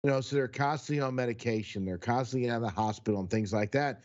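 A man's voice: mid-range voice, fast talker, a little mellow, dull audio. Chatty man in his sixties. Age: 60s. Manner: chatty, rambling storyteller. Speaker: podcast co-host. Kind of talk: casual podcast chat.

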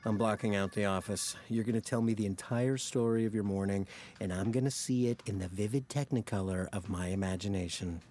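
A man's voice: fairly low pitch, brisk, a little mellow, close to an everyday voice. A man acting as a cynical, jaded ad man in a comedic audio drama, melodramatic and profane as he needles his best friend. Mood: mock dreamy.

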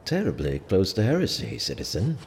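An actor playing a firm, measured sheriff who speaks formally and with authority in a medieval audio drama. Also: male voice, low voice, huge pitch swings, darkish tone, heavily acted. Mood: stern, commanding. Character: commanding, measured sheriff with some compassion. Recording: long hall reverb, faint ambient noise.

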